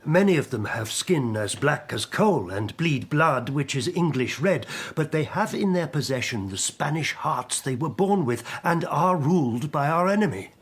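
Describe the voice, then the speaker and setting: A man playing a scheming, insistent Elizabethan gentleman petitioner in a radio drama, formal, rhetorical and insinuating. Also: a little high, animated, normal speed, hardly any pauses, slightly rough. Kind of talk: period radio drama acting.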